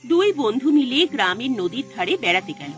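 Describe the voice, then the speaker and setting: A woman narrates a scripted ghost story over music. Her voice sits fairly high and swings widely as she builds suspense in a dramatic storytelling style. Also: very animated, normal speed. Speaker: narrator of an animated horror story. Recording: music behind the voice.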